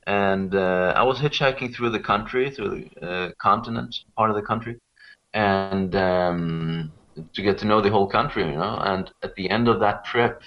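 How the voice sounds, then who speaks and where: A man's voice: on the low side, moderate pace. A man from Sweden, explaining things informally and conversationally as an interview guest. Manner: informal, explanatory, often checking with "you know". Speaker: interview guest, local expert on Rapa Nui. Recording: dull, lo-fi call-like audio.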